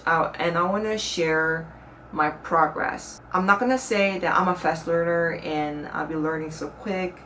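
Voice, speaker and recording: A woman's voice: on the low side, a bit bright. A young woman with a Korean accent, a native Korean speaker, chatting earnestly to her viewers in a casual vlog, with some hesitant second-language phrasing. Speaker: vlogger. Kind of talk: casual talk to camera. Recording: slightly distant mic with faint room noise.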